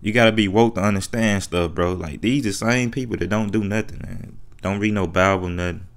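American man, lowish voice, for an off-the-cuff rant; colloquial, emphatic, repeats himself. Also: fairly quick, gravelly. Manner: colloquial, repetitive, emphatic rhetorical questions. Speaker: online commentator. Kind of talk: unscripted opinionated rant.

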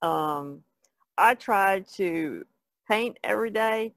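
A woman's voice: mid-range voice, lively intonation, takes it slowly, creaky, a bit bright, no echo. An American woman chatting casually as a podcast co-host. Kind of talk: casual chat.

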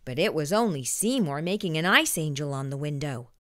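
A woman reading a children's storybook aloud expressively, with deliberate, dramatic pauses. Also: low voice, expressive, a bit bright. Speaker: storytime host and narrator.

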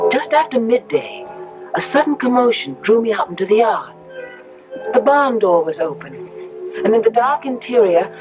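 An actress playing a stubborn, soft-hearted young city wife on a ranch in a 1970s radio drama. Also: female voice, mid-range voice, muffled recording. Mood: calm narrating. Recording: band-limited old radio recording, music behind.

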